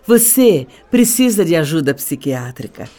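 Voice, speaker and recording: A woman's voice: sits low, big pitch swings, measured pace, dark tone. A Brazilian actress playing a condescending middle-aged stepmother in a radio soap, with a theatrical, patronizing delivery. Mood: condescending, dramatic.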